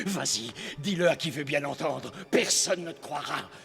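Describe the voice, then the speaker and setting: A man's voice: on the high side, very animated, a little slow, vocal fry, heavily acted. A man playing an obsessed, menacing, manipulative priest in a French cartoon dub, with theatrical, impassioned delivery that swings from coaxing to threatening. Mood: menacing, furious. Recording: long hall reverb, music behind.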